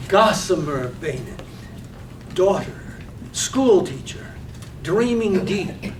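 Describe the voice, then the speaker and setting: Woman narrator, poetic play reading, mid-pitched, deliberate phrasing, far from mic, roomy, faint noise. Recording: distant echoey room, faint noise.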